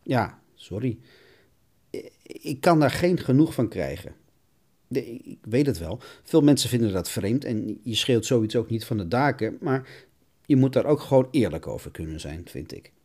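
A man's voice: mid-range voice, lively intonation, normal speed, dark tone, breathy edge. A man hosting a podcast, reading scripted narration in a wry, tongue-in-cheek way. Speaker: podcast host.

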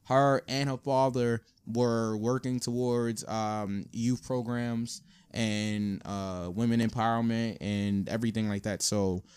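A man co-hosting a casual podcast, talking informally with slang in a subdued, low-energy way. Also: fairly even intonation, takes it slowly, few pauses, darkish tone, clean tone. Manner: informal urban slang, frequent "you know what I'm saying". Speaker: podcast co-host. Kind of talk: casual conversational podcast talk.

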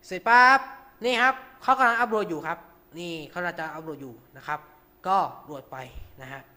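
A man's voice: high voice, very animated, a little fast, bright, forceful tone. A man hosting an informal tutorial, talking through each step with frequent polite particles. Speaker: radio show host.

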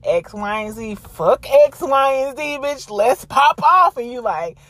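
Blunt woman chatting in an unhurried drawn-out pace. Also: sits high, expressive, fairly bright tone, dry recording. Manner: blunt, slangy, profane. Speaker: podcast host. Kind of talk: casual confessional chat.

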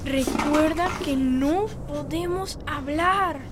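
High-pitched, frightened little migrant girl. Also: slow pace, a crowd in the background. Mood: frightened, hushed. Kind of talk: radio drama acting.

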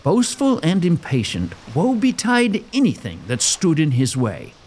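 A man's voice: on the high side, huge pitch swings, unhurried, darkish tone, breathy edge, full character voice. A man playing a storyteller who narrates a gothic sea legend in a dramatic scripted style. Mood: storytelling.

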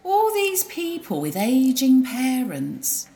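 A woman's voice: sits high, wildly swooping pitch, slow, warm tone. A woman narrating a drama in a wry, gossipy first-person voice.

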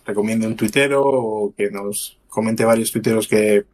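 Casting: man from Galicia, podcast host leading sections, calm and measured. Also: on the low side. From Galicia, Spain. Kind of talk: casual podcast chat.

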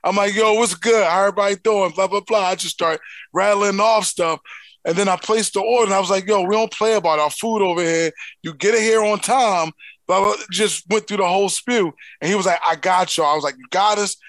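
A man from Philadelphia with a Philly accent, co-hosting a casual podcast and telling stories with big, loud laughs. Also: high-pitched, brisk, no echo. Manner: animated storyteller, laughs loudly at his own stories. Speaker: co-host.

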